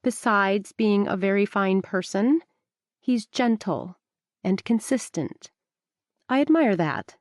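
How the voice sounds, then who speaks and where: A woman reading the part of an earnest young woman who stubbornly defends her choices, her voice lifted a little higher. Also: expressive, takes it slowly, no room sound, studio quality. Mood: defensive.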